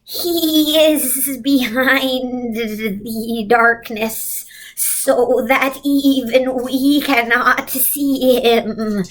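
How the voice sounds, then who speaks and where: A woman voicing a solemn otherworldly witch in a children's storybook, drawing out the words gravely. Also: sits high, fairly even intonation, poor audio. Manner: drawn-out, grave. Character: solemn, slow-speaking female otherworldly witch.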